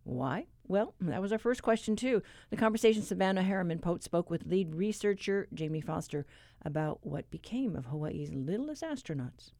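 A woman's voice: on the low side, lively intonation, moderate pace, dark tone, no room sound. Dry, close-sounding woman radio host. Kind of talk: scripted radio presenting. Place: radio studio.

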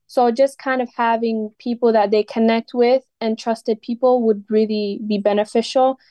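A teenage girl, a high school student from Ethiopia, answers interview questions in an earnest way, leaning on casual fillers. Her voice sits on the higher side and is recorded dry and close. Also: steady tone, moderate pace, mellow, dry recording. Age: teens. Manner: earnest, frequent fillers like "like" and "you know".

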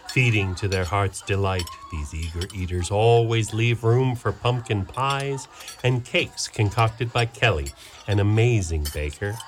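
A lowish male voice narrating scenes of a community radio play. His delivery is measured and even, with faint noise underneath.

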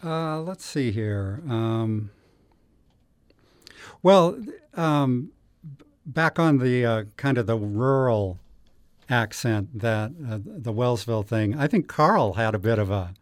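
A man's voice: mid-range voice, expressive, slow, dry recording, studio quality. A man, a newspaper editor, a guest on a radio show, thinking aloud with many ums. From Utah. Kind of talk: radio interview.

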